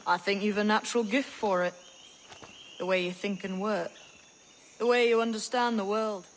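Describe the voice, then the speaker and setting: A woman's voice: mid-range voice, steady tone, normal speed, slight background noise, close to an everyday voice. A woman playing a shrewd, guarded young pirate in disguise in a video game, plain-spoken and colloquial. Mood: earnest, coaxing. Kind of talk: dramatic video game acting.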